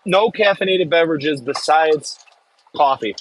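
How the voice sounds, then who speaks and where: A man's voice: a little high, unhurried, a little hiss or hum, low-quality recording. A man with a Michigan accent, hosting a casual livestream and rambling as he reads and answers viewers' chat messages. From Michigan, US Midwest. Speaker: livestream host. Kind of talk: casual live-stream chat.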